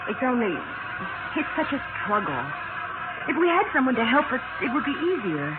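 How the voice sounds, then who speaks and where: An actress playing a weary, devoted, patient wife in an earnest 1940s radio melodrama style. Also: female voice, high voice, very animated, normal speed. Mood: weary, soothing. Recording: tinny 1940s radio recording, music behind.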